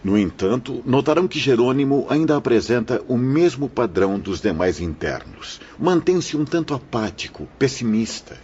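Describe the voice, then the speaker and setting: An actor playing a kindly, grave priest in an audio drama, speaking in a measured, paternal, sermonizing way. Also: male voice, mid-range voice, very animated, darkish tone, lightly acted. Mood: grave, reassuring. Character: kindly, grave priest guiding troubled souls. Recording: muffled audio with clear background noise.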